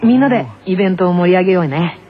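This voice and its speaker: An actor playing a gentle, agreeable teenage boy, a senior teammate, in an anime radio skit. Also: mid-range voice, racing, husky, natural voice. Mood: friendly. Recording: noisy muffled lo-fi radio audio.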